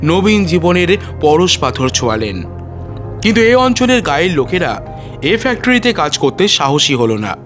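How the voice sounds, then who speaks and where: A man narrating a story in a measured, literary and dramatic way, with strong emphasis. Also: high voice, fairly bright tone.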